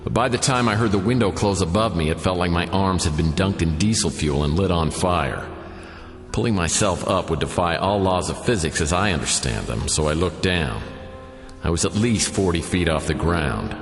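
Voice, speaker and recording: An actor playing a world-weary, wisecracking private eye, narrating a noir parody deadpan in a reverberant recording. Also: male voice, low voice, moderate pace. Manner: deadpan, dry. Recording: roomy long reverb, music behind.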